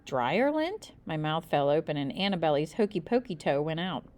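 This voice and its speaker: A woman reading a children's book aloud expressively, with deliberate, dramatic emphasis. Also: low voice, darkish tone, clear, resonant tone. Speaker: storybook reader.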